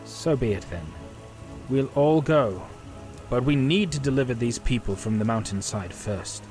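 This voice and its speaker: A man's voice: medium pitch, lively intonation, measured pace, a little mellow, faint music behind, pitched above the performer's own voice, natural voice. A man voicing an earnest, weary half-elf quest leader with a grave, serious manner in a fantasy audio story. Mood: solemn, resolute.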